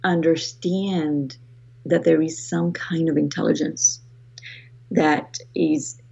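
Low husky Greek-accented woman. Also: fairly even intonation, takes it slowly, warm tone, dull audio. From Greece. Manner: reflective, restarts phrases often. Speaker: author guest. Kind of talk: podcast interview.

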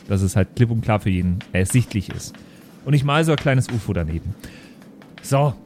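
A man's voice: medium pitch, close to an everyday voice. A man voicing a patient but muddled schoolteacher, explaining and questioning hesitantly. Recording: faint background noise.

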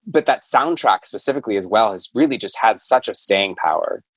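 Low-voiced male interviewer. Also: animated, a little fast, fairly bright tone, no room sound. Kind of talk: conversational interviewing.

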